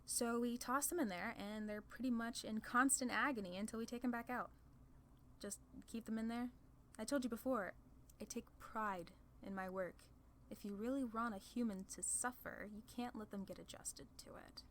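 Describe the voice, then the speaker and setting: A woman's voice: on the high side, moderate pace, slight background noise, close to an everyday voice. A woman voicing a playful, proud demon ruler of hell in a casual, sardonic ASMR roleplay. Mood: wry, matter-of-fact. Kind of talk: ASMR roleplay audio drama.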